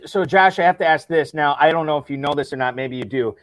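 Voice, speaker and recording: A man's voice: on the high side, fast talker, a bit bright, dry recording. Friendly male host, dry close sound. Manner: friendly, stumbling restarts. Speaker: podcast co-host. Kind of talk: casual interview hosting.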